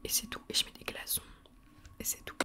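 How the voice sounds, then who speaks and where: A young woman whispering a casual grocery haul for ASMR, chatty and self-mocking with plenty of hesitations. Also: fairly quick. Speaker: ASMR vlogger.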